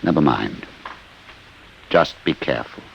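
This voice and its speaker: An actor playing an obsessed, weary older inventor in a 1950s radio drama, gruff, terse and grimly determined. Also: male voice, a bass voice, lively intonation, unhurried, highs cut off, heavily acted. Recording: noisy vintage 1950 radio recording.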